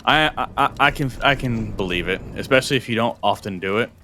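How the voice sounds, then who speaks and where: A man's voice: on the low side, quick pace, sharp tone, slight background noise. A young adult man chatting casually on a game stream, explaining workouts in a coaching way, often restarting his sentences.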